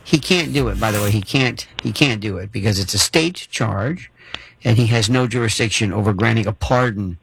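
A man's voice: lowish voice, normal speed, creaky, fairly bright tone, slight background noise, rough recording. A man hosting a call-in radio talk show, theatrical and wordy with mock-formal turns of phrase. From Florida.

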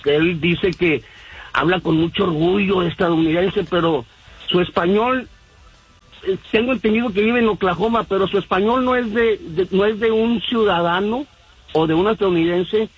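Caller: husky man, rambling, lots of fillers, on a phone line. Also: sits high, normal speed. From Mexico. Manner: rambling, many fillers and restarts. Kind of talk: phone-in radio call.

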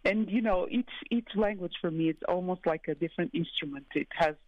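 A woman from Portugal, a musician guest in a radio interview, talking in a halting way with many fillers and restarts. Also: on the low side, dry recording, narrow, tinny audio. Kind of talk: casual interview.

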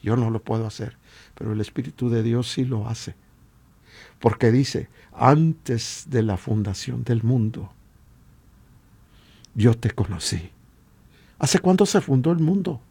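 A man with a Latin American Spanish accent and a husky voice, preaching informally and emphatically with repeated rhetorical questions. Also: medium pitch, lively intonation, a little slow, pauses often, dark tone. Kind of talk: informal exhortation sermon.

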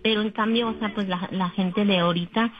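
A woman's voice: medium pitch, steady tone, brisk, highs cut off. A woman, chatting casually as a radio caller in an exclaiming, teasing manner. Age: 50s. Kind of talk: casual chat.

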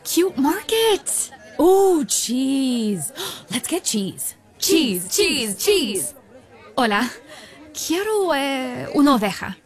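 A sweet, excitable shopper played by a woman gushes in a high, swooping voice, very slowly drawn out. It is comic radio ad acting, doting and cooing, over light music. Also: a faint crowd, heavily acted. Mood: excited.

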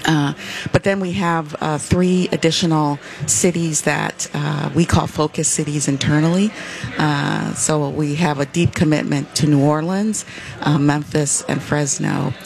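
An interview guest from West Michigan who explains their organization's work at length in a measured way, with frequent "uh" fillers. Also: medium pitch, steady tone, creaky, a little hiss or hum. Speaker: foundation representative, guest.

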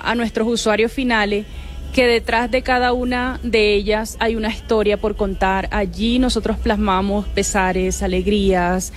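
A woman artisan whose first language is Arhuaco, speaking in a measured way with frequent fillers as a radio guest. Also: medium pitch. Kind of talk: radio interview.

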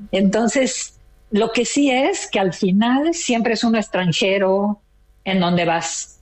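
A retired older woman from Mexico with a Mexican accent, chatting proudly as a caller on a radio talk show. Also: mid-range voice. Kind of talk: casual chat.

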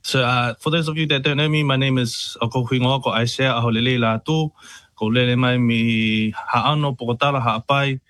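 A man's voice: mid-range voice, normal speed, no echo, clean studio sound. Easygoing New man, musician guest. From New Zealand. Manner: relaxed, friendly, many ums and yeahs.

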